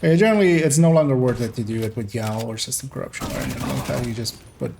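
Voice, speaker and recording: Gravelly man with a mixed Iranian-Dutch accent. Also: medium pitch, quick pace, background noise. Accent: Iranian mixed with American and Dutch English. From Iran. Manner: relaxed, rambling explanations with little laughs. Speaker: streamer. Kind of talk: casual gameplay commentary.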